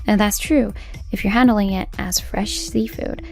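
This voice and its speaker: A woman's voice: mid-range voice, steady tone, a little slow, vocal fry, darkish tone, soft background music. A woman voicing an earnest, assertive young female store manager in a comic dub, speaking emphatically. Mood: firm, challenging. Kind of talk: dubbed comic voice acting.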